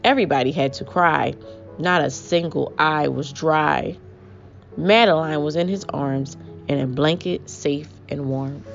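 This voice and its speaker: A woman reading aloud to young children as host, with an expressive, measured storybook delivery. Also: sits low, gravelly. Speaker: children's book reader, host. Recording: muffled audio with prominent music.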